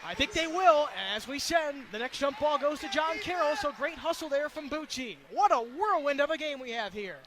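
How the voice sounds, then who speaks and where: A man doing excited, projected radio play-by-play of basketball in short, clipped, rapid-fire phrases. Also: extremely high pitch. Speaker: play-by-play commentator. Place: indoor basketball arena. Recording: loud crowd and gym noise. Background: crowd noise and bouncing basketball.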